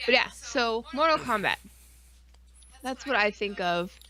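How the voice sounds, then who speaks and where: A woman co-hosting a stream in casual, rambling fan chat, excitable and full of slang and swearing. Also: a little high, measured pace, low-quality recording. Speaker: podcast and stream co-host.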